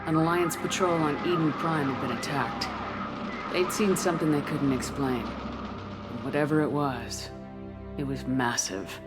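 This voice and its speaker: Female soldier narrator, deep voice, composed, serious, measured, sci-fi game, music underneath. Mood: grave. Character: tough, composed female military commander recounting a mission. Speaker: military commander narrating. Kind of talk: scripted narration in a video game. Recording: music behind.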